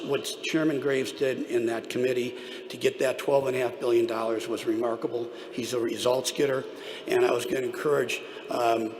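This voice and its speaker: A man giving prepared remarks as a trade association leader at a public event, with an emphatic, deliberate delivery. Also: medium pitch. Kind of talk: prepared podium speech. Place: large echoing hall at a public event. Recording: echoey hall, clear background noise.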